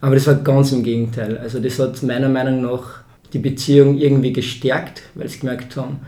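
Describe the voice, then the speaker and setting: A man's voice: mid-range voice, flat delivery, brisk, warm tone. A young man, a social worker chatting as a podcast guest in reflective, winding sentences full of fillers. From born in Israel, raised in Austria. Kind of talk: casual conversation. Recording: roomy echo.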